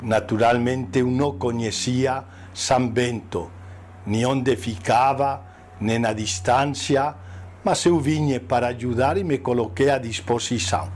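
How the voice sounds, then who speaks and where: Slow older priest with Spanish accent. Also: male voice, medium pitch, fairly even intonation, a little mellow, slight background noise. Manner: slow, hesitant, with many pauses and fillers. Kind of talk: interview recollection.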